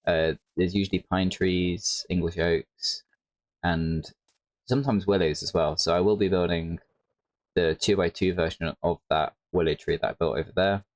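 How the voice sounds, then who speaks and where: A man giving casual, rambling commentary over his gameplay, his voice a little hoarse. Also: sits low, fairly even intonation, normal speed, no room sound, low-quality recording. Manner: rambling, self-correcting, with frequent "like". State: slightly hoarse. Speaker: Minecraft creator.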